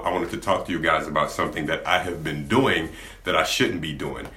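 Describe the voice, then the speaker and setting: A young man with a deep voice, talking candidly to camera in a laid-back, rambling way, often restarting his sentences. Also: sharp tone. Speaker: gaming YouTuber. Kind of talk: candid vlog talk to camera. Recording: echoey room.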